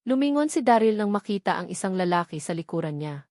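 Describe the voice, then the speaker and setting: Female audiobook narrator, measured scripted storytelling, medium pitch, very little room sound. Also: big pitch swings, darkish tone, no echo, studio quality.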